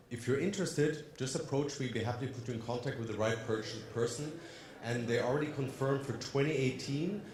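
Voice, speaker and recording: A man's voice: medium pitch, darkish tone, echoey room. A man from Germany with a slight German-tinged accent in his English, giving an upbeat conference presentation and putting questions to the audience. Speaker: conference speaker. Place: conference hall.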